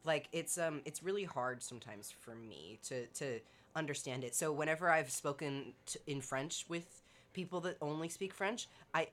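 A young woman on a podcast, telling stories in an animated way with many restarts and fillers. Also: sits low, moderate pace. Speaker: podcast co-host. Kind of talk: casual chat.

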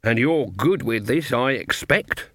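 A man's voice: medium pitch, animated, unhurried, fairly bright tone, no echo, clean studio sound. A man reading a story, voicing a shrewd old witch woman who talks in a sing-song, falsely innocent country dialect. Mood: mock-innocent.

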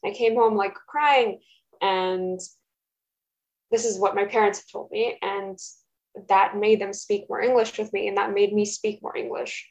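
A young woman whose first language is Russian answers questions at home, pausing often and filling gaps as she thinks. Her voice sits at an ordinary middle pitch. The recording is dull and lo-fi. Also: fairly even intonation. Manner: hesitant, thoughtful, many fillers. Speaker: interviewee. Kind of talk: casual interview. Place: home, roommate nearby.

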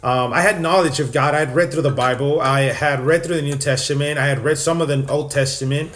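A man's voice: fairly high pitch, steady tone, fairly quick, a bit bright. Earnest young man from Texas. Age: young adult. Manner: earnest, many fillers and restarts. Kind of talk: casual testimony sharing.

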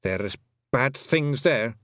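A man voicing a gruff, warlike little fairy chieftain with a thick Scottish brogue, slow and ominous. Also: mid-range voice, animated, fairly bright tone, no room sound, studio quality.

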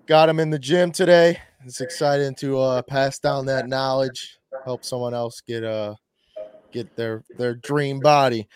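Smooth-voiced young podcast host. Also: male voice, medium pitch, fairly even intonation, unhurried, a little mellow, no room sound, clean studio sound. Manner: upbeat, loose, laughs easily. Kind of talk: casual podcast chat.

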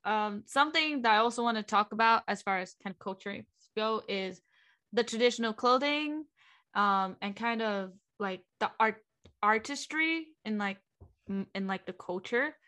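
A woman's voice: on the high side, expressive, moderate pace, bright, forceful tone. Young woman chatting hesitantly on a podcast. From Vietnam. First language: Vietnamese. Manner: hesitant, many fillers and restarts. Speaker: podcast co-host. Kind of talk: casual podcast chat.

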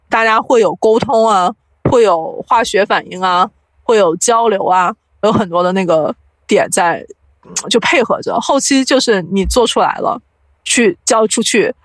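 A woman's voice: mid-range voice, lively intonation, moderate pace, no room sound, poor audio. A woman speaking as an interview guest, explaining audio production in casual chat and mixing in English terms.

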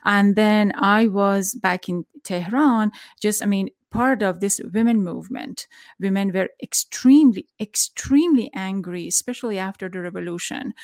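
A woman's voice: medium pitch, normal speed, no room sound. A woman from Iran hosting an interview, speaking English in an earnest, searching way. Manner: earnest, searching, non-native phrasing. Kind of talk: conversational interview.

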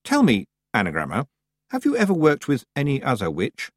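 A man voicing a sensible, quietly stubborn young girl witch in a storybook, plain-spoken and politely stiff. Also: medium pitch, huge pitch swings, a little fast, no echo, voice pushed up. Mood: careful, probing.

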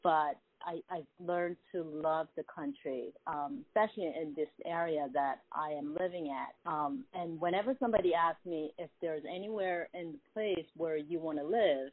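A woman in her fifties, born in South Korea and a native Korean speaker, speaks as a podcast guest in a soft, hesitant way with many ums. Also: sits low, normal speed, few pauses, no room sound. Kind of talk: casual interview over the phone. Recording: tinny phone line.

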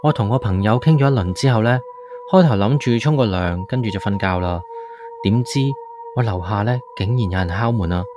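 A man's voice: fairly low pitch, fairly quick, close to an everyday voice. A man playing a frightened tenant, narrating a horror radio drama in an anxious, suspenseful way. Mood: uneasy. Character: frightened male tenant recounting his haunting. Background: background music.

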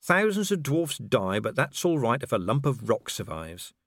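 A man's voice: mid-range voice, sing-song, moderate pace, a bit bright, no echo, clean studio sound, pitched above the performer's own voice. A man voicing a weary, sceptical policeman in an audiobook, blunt and wry as he questions. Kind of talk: audiobook character acting.

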